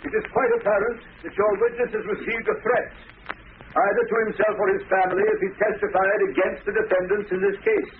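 An actor playing a solemn, formal, firm court judge in an old-time radio drama. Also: male voice, high voice, fairly even intonation, fairly quick, lightly acted. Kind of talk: 1940s radio drama acting. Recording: tinny, hissy old radio recording.

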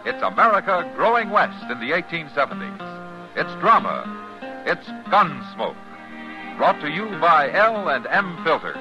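A man delivers formal 1950s radio announcements with dramatic projection. His slightly high voice swings expressively. Music plays behind him on a dull vintage recording. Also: very animated, measured pace.

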